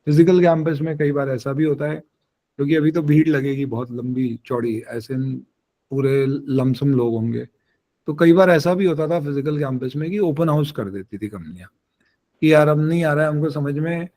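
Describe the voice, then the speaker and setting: A man's voice: fairly high pitch, normal speed, dark tone. An Indian man hosting a webinar question-and-answer, explaining things frankly and informally while switching between Hindi and English. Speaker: careers webinar host and mentor. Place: online webinar call.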